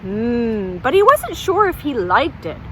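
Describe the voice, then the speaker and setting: A woman telling a folktale at a children's storytime, pausing to ask young listeners questions. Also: a little high, big pitch swings, a little hiss or hum, poor audio.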